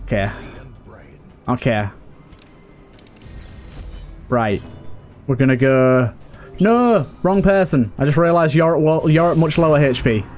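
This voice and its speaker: A man's voice: on the high side, expressive, slow, pauses often, darkish tone, soft background music. A man giving casual let's play commentary, pleading with and exclaiming at the game characters as he talks. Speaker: gaming video host and player.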